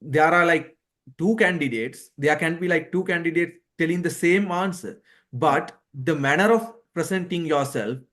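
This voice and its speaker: Higher-pitched man, non-native English speaker. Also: moderate pace, fairly bright tone. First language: not English. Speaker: guest, doctor who passed a surgical exam. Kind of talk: conversational interview answers.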